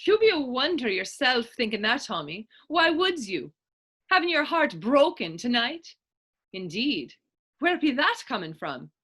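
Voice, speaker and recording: A bold, playful woman played by an actress in a readers theater drama. Her fairly high voice swings widely in pitch as she teases in a lilting, old-fashioned dialect. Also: very animated, measured pace, a bit bright, slightly rough, heavily acted. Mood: wry, reassuring. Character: bold, teasing grown Irish woman of Dublin. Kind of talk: readers theater stage acting.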